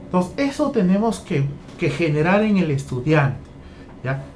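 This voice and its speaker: A man's voice: fairly high pitch, sing-song, a little slow, a little mellow, slight background noise. A man from Peru training teachers, walking through a lesson plan and repeating phrases as he goes. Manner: repeats phrases, keeps asking "¿ya?".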